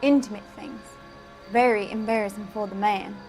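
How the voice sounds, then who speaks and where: An actress playing an eager, gossipy witness confiding to a detective in a 1940s game scene. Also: female voice, mid-range voice, steady tone, moderate pace, a little mellow, soft background music, close to an everyday voice, rough recording.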